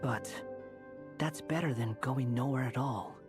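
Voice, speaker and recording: A man playing a calm, reserved young traveller in a video game, matter-of-fact and reflective. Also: medium pitch, unhurried, natural voice. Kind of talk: video game drama acting. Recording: loud music behind the voice. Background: music.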